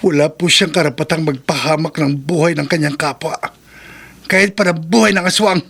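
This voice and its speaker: A man's voice: a little high, fairly quick, gravelly, fairly bright tone, slightly rough, exaggerated voice. A man playing a brooding, loyal young Filipino aswang, with earnest, emotional horror radio drama delivery. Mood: grieving, indignant.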